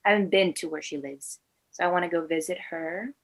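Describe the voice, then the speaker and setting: Young woman from venezuela, native spanish speaker, slightly low voice, chatting as an interview guest. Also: fairly quick, vocal fry. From Venezuela and Latin America. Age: 20s. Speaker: interview guest, actor and filmmaker. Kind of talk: casual conversational interview answers.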